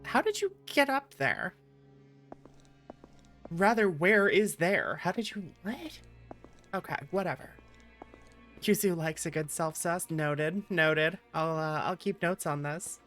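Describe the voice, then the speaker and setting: A woman from the American Midwest with a lowish voice streams a game. She comments casually and reacts aloud. Faint music plays underneath. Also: expressive, slow pace, long pauses, a bit bright.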